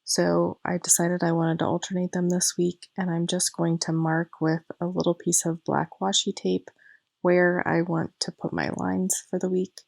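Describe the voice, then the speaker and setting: Hoarse woman with a gravelly low voice. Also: level tone, normal speed, darkish tone, no room sound. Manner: chatty, self-critical, explains each step. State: losing her voice, hoarse. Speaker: planner vlogger. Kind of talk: casual voice-over narration of a craft video.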